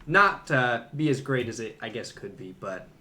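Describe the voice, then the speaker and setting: Guy on a movie podcast, medium pitch, bright voice, just chatting. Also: animated, normal speed. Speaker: podcast host. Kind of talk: casual movie discussion chat.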